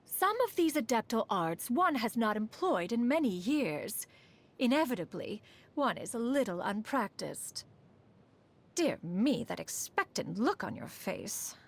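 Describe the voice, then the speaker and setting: A woman's voice: fairly high pitch, big pitch swings, a little slow, heavily acted. A woman voicing a proud, refined immortal sage and inventor in a video game, with formal, archaic, poised diction. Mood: composed, gracious. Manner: formal, stately, archaic diction, refers to herself as "one". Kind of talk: scripted game character voice lines.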